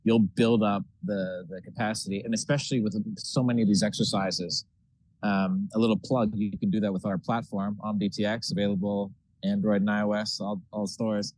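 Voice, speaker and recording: Brief: male founder guest, low voice, dry close recording, wry and jokey delivery. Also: little pitch movement, moderate pace, darkish tone, no echo, low-quality recording. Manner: lightly joking, slips in a product plug. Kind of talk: unscripted panel discussion.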